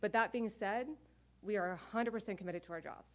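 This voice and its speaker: A woman's voice: mid-range voice, a little fast. Earnest middle-aged woman giving testimony. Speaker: air traffic controller speaking out. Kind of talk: press conference testimony.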